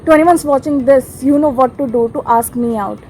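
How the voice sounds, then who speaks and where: A woman's voice: high-pitched, brisk, a little mellow, a little hiss or hum, poor audio. A young woman, a student speaking casually and playfully to the camera. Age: young adult.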